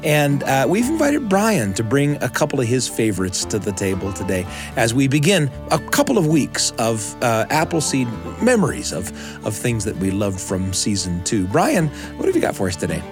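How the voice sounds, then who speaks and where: An American man hosts a radio show with music behind him. His mid-pitched voice swings expressively up and down as he moves between scripted intros and studio chat. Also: huge pitch swings, moderate pace.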